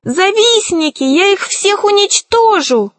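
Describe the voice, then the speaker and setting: A woman's voice: high-pitched, lively intonation, unhurried, fairly bright tone, dry recording, pitched above the performer's own voice. A woman voicing a cowardly, pompous male sorcerer, plaintive and trembling, in a children's storybook. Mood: outraged. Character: whiny, cowardly, easily offended male sorcerer.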